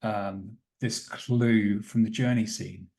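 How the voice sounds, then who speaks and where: A man playing a tabletop roleplaying game, thinking aloud as he narrates what his character does in a thoughtful, deliberate way. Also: lowish voice, fairly even intonation, gravelly, mellow.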